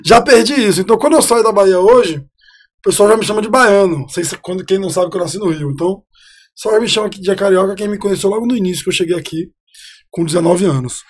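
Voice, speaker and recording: A man of about forty from Rio de Janeiro, speaking with a high, slightly husky voice. He tells stories about himself in a loose, chatty way, and is recorded close on a studio podcast mic. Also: fast. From Rio de Janeiro, Brazil. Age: 40s. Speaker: guest, city councillor. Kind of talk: casual storytelling interview.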